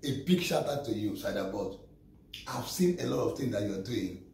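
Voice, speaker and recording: A man's voice: on the low side, expressive, moderate pace, gravelly, darkish tone, slight background noise. A middle-aged man with a Nigerian accent, ranting straight to camera in a small room, emphatic and repetitive, often stumbling over repeated words. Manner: emphatic, repetitive, stammering restarts, gesturing. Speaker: vlogger. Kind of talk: impassioned direct-to-camera rant. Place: small plain room indoors. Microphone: camera a few steps away. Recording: echoey room, voice distant from mic.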